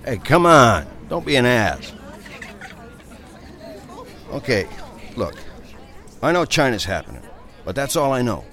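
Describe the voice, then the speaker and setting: An earnest, easily thrilled sidekick in a satirical audio drama. He talks casually and bluntly, eager for approval. His pitch is average for a man, and a faint city ambience lies behind him. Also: big pitch swings, a little slow, pauses often, a bit bright, some chatter behind, slight background noise. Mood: anxious, irritated.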